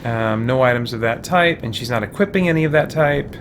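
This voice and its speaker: A man giving casual let's play commentary, muttering to himself as he thinks out loud, with mild exclamations. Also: mid-range voice, a little fast, noisy background. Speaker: gaming video host. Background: game music.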